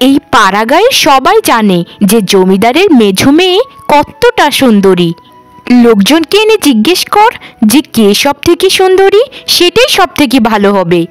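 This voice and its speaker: A woman voicing a jealous, sharp-tongued middle daughter who nags sarcastically in a cartoon. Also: sits high, very animated, moderate pace, dry recording, soft background music. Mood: indignant. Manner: nagging, sarcastic. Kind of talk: cartoon voice acting.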